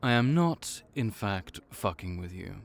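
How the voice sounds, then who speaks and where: A man's voice: on the low side, big pitch swings, unhurried, gravelly, full character voice. A man voicing a laid-back vampire clerk and mentor in an audio roleplay, dry and wry as he speaks to the listener. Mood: easygoing.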